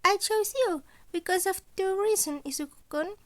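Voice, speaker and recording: A high, lifted voice for a scheming principal creature played by a woman in a fan fiction story. The delivery is polite and amused, with a sly show of innocence. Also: moderate pace. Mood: calm, amused.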